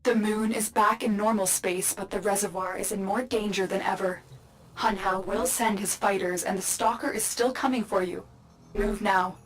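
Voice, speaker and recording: An actress playing a calm mentor who gives urgent instructions. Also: female voice, mid-range voice, moderate pace, lightly acted, poor audio. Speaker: mission guide.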